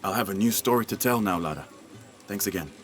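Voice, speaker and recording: Man acting low-pitched game character, careworn father, earnest, hesitant, music behind. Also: fast talker, close to an everyday voice. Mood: grateful, earnest. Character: worried, wary, grateful working-class father. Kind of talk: video game cutscene acting.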